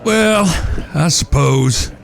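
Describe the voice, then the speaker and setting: A man's voice: a little high, lively intonation, drawn-out words, creaky, some chatter behind, exaggerated voice. An actor playing a wry eldest cowboy brother in a Western audio drama, folksy and mock-formal in his talk. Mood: wry, teasing.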